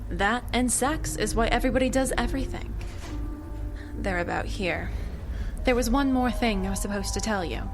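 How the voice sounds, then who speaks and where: A woman acting a cold, contemptuous corporate agent in an audio drama who calmly taunts her captive. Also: fairly high pitch, moderate pace, music in the background, close to an everyday voice. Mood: cold taunting. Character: cold, mocking woman agent selling a man to aliens. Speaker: corporate agent running an alien experiment.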